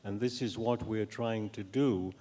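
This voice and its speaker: An older man, a professor, gives an academic talk and answers questions in a measured, halting, deliberate way. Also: fairly low pitch, steady tone, warm tone. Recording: echoey hall with long reverb.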